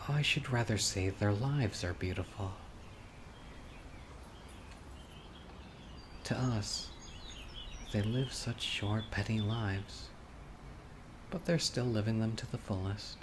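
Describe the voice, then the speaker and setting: A man playing a gentle, eager, critically ill young man with a secret obsessive streak on a date, in an audio roleplay, speaking hesitantly and softly with muttered asides. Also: lowish voice, noisy background. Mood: dreamy, musing. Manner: hesitant, soft-spoken, with muttered whispered asides. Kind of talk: roleplay audio drama, intimate boyfriend monologue. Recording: outdoor nature ambience, birds and insects. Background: birdsong, crickets and insects.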